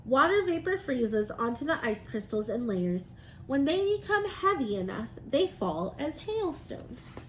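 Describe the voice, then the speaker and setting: A woman's voice: high-pitched, big pitch swings, a little slow, poor audio. A woman teacher reading aloud and explaining science to young children in simple, encouraging phrases. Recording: noticeable background noise.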